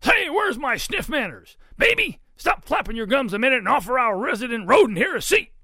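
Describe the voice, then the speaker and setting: Storybook papa pig, huge, bellowing, folksy, jovial, high-pitched and forceful. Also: very animated, a little fast, breathy edge, dry recording, clean studio sound, heavily acted. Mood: hearty, booming. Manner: loud, folksy, exclamatory.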